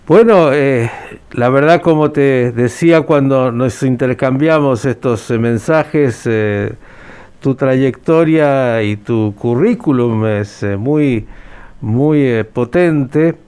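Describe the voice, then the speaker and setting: A man hosting a podcast interview, phrasing his questions in a deliberate, formal way. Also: mid-range voice, a little mellow, clean tone.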